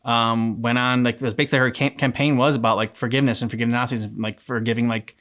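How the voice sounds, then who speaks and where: A man's voice: medium pitch, little pitch movement, quick pace, fairly bright tone. A man co-hosting a reaction channel, chatting casually and a bit hesitantly, rambling as he searches for words. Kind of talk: casual chat.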